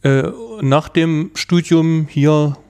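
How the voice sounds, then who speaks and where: A man's voice: a little high, fairly even intonation, drawn-out words, a little mellow, clear, resonant tone. A man in his forties, a forester from Thuringia, giving brief, plain answers in an interview. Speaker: forester, guest.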